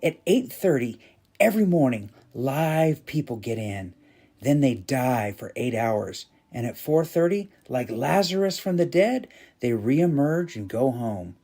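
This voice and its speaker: A man's voice: medium pitch, very animated, a little slow, dark tone. Man playing exuberant, emphatic toll booth worker. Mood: insistent, animated. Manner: emphatic, repeats words with relish. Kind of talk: dramatized storytelling dialogue.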